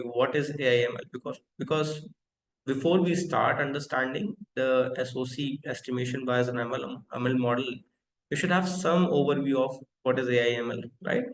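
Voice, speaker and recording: A man's voice: medium pitch, reverberant, a little hiss or hum, rough recording. A man in his thirties hosting a live online technical lecture, talking interactively with many fillers and often asking the audience questions. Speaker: webinar host and company founder.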